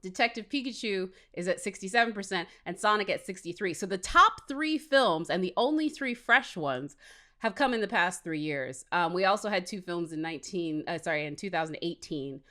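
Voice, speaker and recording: A woman's voice: on the low side, animated, moderate pace, sharp tone. A woman co-hosting a podcast, talking casually as she discusses films. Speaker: podcast co-host.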